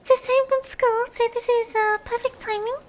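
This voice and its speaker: A woman's voice: very high-pitched, steady tone, moderate pace, a little hiss or hum. A woman VTuber chatting cutely on a livestream while playing a game. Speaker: VTuber streamer. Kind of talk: livestream chat over a game. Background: game music.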